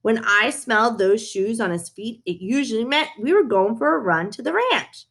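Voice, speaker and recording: A woman's voice: on the high side, expressive, normal speed, a bit bright. A woman reading a children's story aloud, narrating it in the first person as a dog. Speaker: reader of a children's book.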